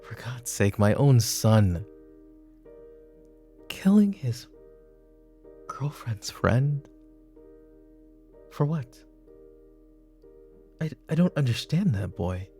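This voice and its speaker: A man playing a weary, brooding middle-aged mafia boss and father in a roleplay audio drama, speaking in a halting, intimate way. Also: sing-song, warm tone, soft background music, full character voice. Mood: exasperated. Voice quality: gravelly, low. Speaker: voice actor.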